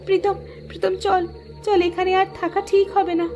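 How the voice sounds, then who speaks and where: A woman's voice: very high voice, little pitch movement, moderate pace, a little mellow, muffled recording, soft background music. A woman playing a curious, easily frightened young heroine in a horror cartoon. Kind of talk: Bengali horror cartoon acting.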